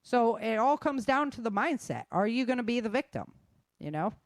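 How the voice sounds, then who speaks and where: A woman's voice: a little high, very animated, moderate pace, no room sound, clean studio sound. A woman hosting a talk show, giving casual, emphatic advice straight to her listeners. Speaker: host of a self-defense and survival show.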